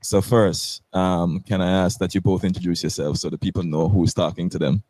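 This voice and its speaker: A man's voice: low-pitched, fairly even intonation, a little fast, warm tone, no echo. A man in his twenties hosting a casual talk show, rambling and repeating words. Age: 20s. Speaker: host.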